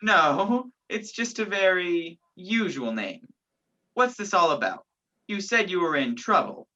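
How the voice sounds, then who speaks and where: A young woman playing a sceptical, gallant gentleman in an amateur radio play, with a theatrical, incredulous manner. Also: on the low side, big pitch swings, unhurried, heavily acted. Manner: incredulous, theatrical, old-fashioned phrasing. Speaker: student actor in a school radio play. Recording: dull lo-fi home recording.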